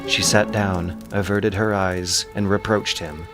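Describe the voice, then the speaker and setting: A man's voice: low-pitched, steady tone, a little slow, over music. A man narrating an ancient epic as a dramatic, scripted audiobook.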